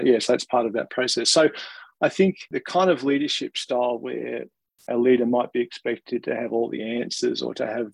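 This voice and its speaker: A gravelly Australian man answers interview questions in a thoughtful, measured way, sometimes restarting a phrase. His voice has a husky edge, and the recording is close and dry. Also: medium pitch, no room sound, rough recording. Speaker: interview guest, organisational leader.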